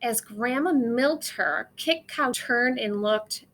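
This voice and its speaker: A woman's voice: a little high, takes it slowly, fairly bright tone. A woman reading a children's storybook aloud, expressively acting out the dialogue and sound words.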